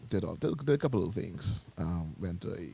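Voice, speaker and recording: A man's voice: sits low, lively intonation, a little fast, gravelly, dark tone, husky. A man in his thirties co-hosting a morning radio show in casual banter. He laughs a lot and stumbles over repeated words. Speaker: radio co-host.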